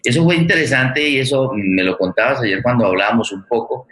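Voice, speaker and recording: A man moderating a forum and reflecting as a writer, halting and repeating words as he searches for phrasing. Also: mid-range voice, a bit bright.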